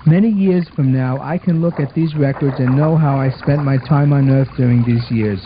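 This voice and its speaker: A man's voice: medium pitch, normal speed, lightly acted. A man voicing an earnest, orderly twelve-year-old boy in a scripted puppet show, explaining things in a bossy, affectionate way. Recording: muffled amateur recording with watery noise.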